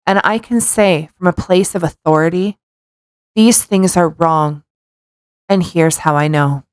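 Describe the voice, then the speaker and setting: A woman with a fairly low voice, recorded dry and without room sound. She speaks as a podcast co-host, moving between casual chat and reading written text out loud. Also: measured pace, smooth, dry recording.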